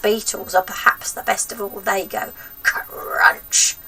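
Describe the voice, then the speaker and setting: A woman's voice: mid-range voice, fairly even intonation, slow pace, bright, forceful tone, distant mic, exaggerated voice. A woman voicing a sinister, gloating old grandmother in a storybook, drawing out nasty words with menacing relish. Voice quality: throaty, breathy whisper at times.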